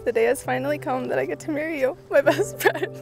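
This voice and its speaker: A young woman with a high voice speaks her wedding vows tenderly and from the heart. Music sits clearly behind her words, as in a wedding film. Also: quick pace. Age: young adult. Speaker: bride. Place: outdoor wedding ceremony. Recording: music under the voice.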